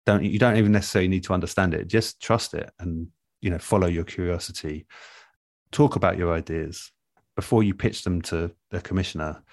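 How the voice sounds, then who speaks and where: A man in a relaxed interview, explaining things in a thoughtful, measured and earnest way as an experienced producer. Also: low-pitched, no room sound, studio quality. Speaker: interview guest, audio drama producer. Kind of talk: relaxed advice-giving conversation.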